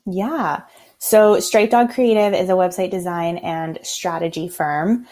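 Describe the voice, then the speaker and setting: An American woman from Los Angeles speaks in a notably low register. She talks fast and intensely, with a sarcastic streak, chatting casually as a guest on a remote podcast call. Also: steady tone, dark tone. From Los Angeles, USA. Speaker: podcast guest, website designer. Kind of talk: casual interview chat.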